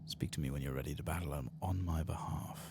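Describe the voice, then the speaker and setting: A man doing an ASMR game playthrough, reading softly in a murmured, often whispered voice, gravelly and close to the microphone. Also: rumbling low, fast talker, very noisy.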